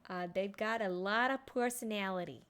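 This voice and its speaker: A woman's voice: mid-range voice, normal speed, a bit bright, clear, resonant tone. A woman giving playful, reactive live commentary as she streams a video game. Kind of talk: live let's play commentary. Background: game music.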